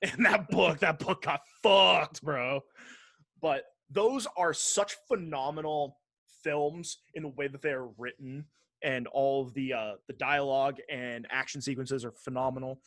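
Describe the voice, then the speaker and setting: A man's voice: mid-range voice, steady tone, measured pace, fairly bright tone, no room sound. A man hosting a podcast, chatting casually about entertainment in emphatic, profanity-laced slang. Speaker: podcast host. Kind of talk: casual podcast chat about movies and games.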